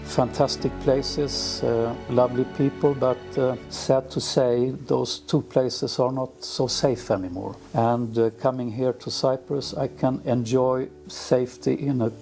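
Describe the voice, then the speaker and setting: A middle-aged man who grew up in Sweden, answering interview questions in an unhurried way with many fillers and restarts. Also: medium pitch, steady tone, gravelly, darkish tone. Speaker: villa owner. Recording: music playing underneath.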